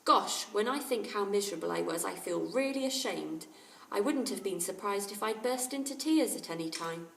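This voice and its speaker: A woman voicing a brisk, plain-spoken, touchy schoolboy in a storybook. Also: mid-range voice, animated, gravelly, a bit bright. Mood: relieved. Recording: roomy echo, distant microphone.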